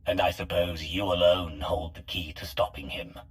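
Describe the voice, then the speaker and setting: A man's voice: deep voice, steady tone, normal speed. A man voicing a wary, blunt hero in a video game, with a dry, questioning manner. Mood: wary, challenging. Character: wary, blunt male galactic hero, commanding and skeptical. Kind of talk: dramatic video game acting.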